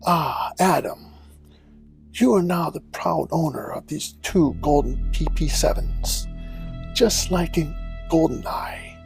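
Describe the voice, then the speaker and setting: A man voicing a suave, devilish gentleman villain in a cartoon comedy, theatrical and drawling, with mock courtesy and a breathy, creaky voice. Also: on the high side, slow, faint music behind. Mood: smooth mock courtesy.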